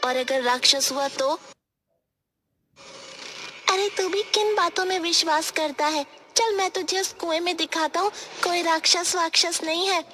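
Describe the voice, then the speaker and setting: A woman's voice: very high voice, unhurried, bright, forceful tone, soft background music, full character voice. A woman voicing a bold, curious young village child in a cartoon, with a teasing, inquisitive manner.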